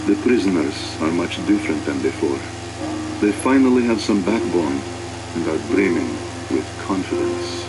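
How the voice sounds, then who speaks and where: A stern military officer in a dramatic game cutscene. His voice is low and he speaks with weary, deliberate gravity. Prominent music runs underneath. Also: lively intonation, poor audio. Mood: grave, contemplative. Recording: loud music behind.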